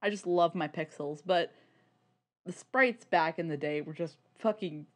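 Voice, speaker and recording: A woman's voice: lowish voice, a little slow. A woman co-hosting a podcast in casual, sweary chat, peppering her sentences with "like". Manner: informal, sweary, peppers speech with "like". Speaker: podcast co-host.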